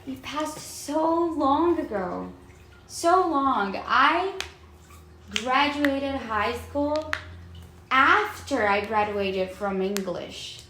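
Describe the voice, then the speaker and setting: A young woman with a Brazilian accent and Portuguese as her first language tells stories casually to a friend. Her voice sits high and she has an animated, giggly delivery. The room adds clear echo, with some noise underneath. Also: extremely slow. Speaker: podcast co-host. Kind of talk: casual chat between friends. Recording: roomy echo with faint background noise.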